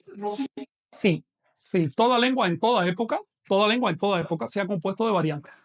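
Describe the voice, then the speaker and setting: A man teaching an online language class, explaining at a measured pace with a non-Mexican Spanish accent. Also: high-pitched. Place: home setup, livestream class.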